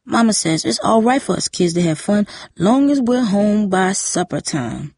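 A woman's voice: mid-range voice, lively intonation, normal speed, darkish tone. A woman voicing a confused elderly grandmother who talks like an insistent little girl, in a storybook reading. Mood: insistent, childlike. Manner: childlike, insistent.

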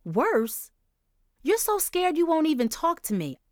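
A woman's voice: high-pitched, very animated, a little slow, no echo, voice pushed up. Woman doing a worried mother, insistent, concerned questioning, dry close sound.

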